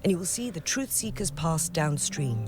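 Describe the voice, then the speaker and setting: A woman's voice: deep voice, sing-song, moderate pace. A woman voicing an earnest, loyal guard officer in a video game, speaking formally and solemnly. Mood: grave, briefing. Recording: game dialogue with music behind.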